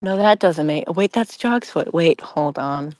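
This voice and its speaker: A woman guest on a casual group podcast, speaking in a halting, self-deprecating way. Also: sits low, expressive, a little mellow, dry recording.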